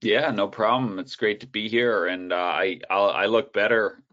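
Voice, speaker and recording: A man guest on a video call, telling his coaching story in a relaxed, rambling way with many fillers and restarts. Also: on the low side, normal speed. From United States. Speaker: guest speaker, soccer coach educator. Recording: dull, lo-fi call audio.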